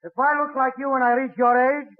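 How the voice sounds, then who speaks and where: A man's voice: very high voice, flat delivery, a little fast. A man playing a cheeky, insulting comic caretaker in 1940s radio comedy, brazen and mock-polite, on a tinny old broadcast.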